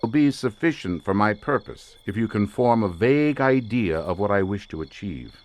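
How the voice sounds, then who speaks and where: A man's voice: fairly low pitch, expressive, normal speed, lightly acted. A man acting an obsessed occult researcher, swooping delivery. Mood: fervent, determined. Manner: grandiloquent, formal, theatrical. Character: obsessed, intense male occult researcher, imperious and fervent. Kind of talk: dramatic audio drama acting.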